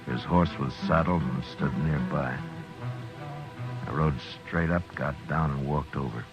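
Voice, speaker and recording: An actor playing a tough American frontier marshal, terse and stern, in a hard-boiled old radio western. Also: male voice, very deep voice, unhurried, music in the background. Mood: grim narration. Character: tough, grim frontier marshal narrating his own story. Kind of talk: 1950s radio western acting and first-person narration. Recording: muffled vintage radio broadcast.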